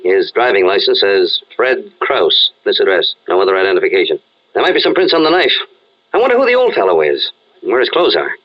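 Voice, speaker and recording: A man's voice: on the low side, sing-song, fairly quick, highs cut off. A man playing a skeptical, exasperated police inspector with a blunt, slangy, impatient manner, in old-time radio drama style. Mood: businesslike, puzzled.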